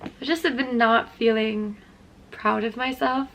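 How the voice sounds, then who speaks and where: A woman's voice: a little high, steady tone, unhurried, a bit bright, slight background noise, rough recording. A young woman with a stuffy, sniffly nose, talking candidly and reflectively to her camera in a casual vlog. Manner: candid, self-questioning, trailing off. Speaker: vlogger.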